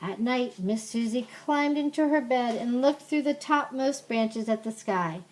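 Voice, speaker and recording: An older woman reading a storybook aloud like a grandmother, with gentle, simple asides to a young listener. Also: a little high, measured pace, rough recording.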